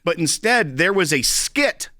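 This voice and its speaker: A man's voice: fairly high pitch, sing-song, unhurried, bright, forceful tone, dry recording, studio quality. A man giving emphatic political commentary as a co-host, driving key points home by repetition. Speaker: talk show co-host.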